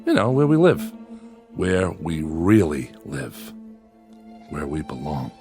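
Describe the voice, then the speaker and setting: A man's voice: rumbling low, wildly swooping pitch, slow pace, darkish tone, soft background music, heavily acted. An actor playing a lonely man devoted to his android companion, by turns yearning and defensive, in dramatic radio style. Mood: tender, eager. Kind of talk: dramatic radio acting with confessional narration.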